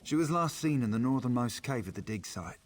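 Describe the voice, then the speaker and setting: An actor playing a calm, formal, reasonable leader of pilgrims in scripted fantasy game dialogue. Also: male voice, mid-range voice, brisk, lightly acted. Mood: calm, measured.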